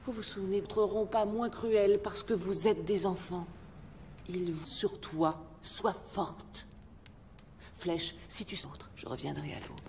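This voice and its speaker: A woman voicing a brave, protective superhero mother in a French animated film dub, firm and emphatic. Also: medium pitch, takes it slowly, slight background noise. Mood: hushed, tender. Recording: loud film score behind.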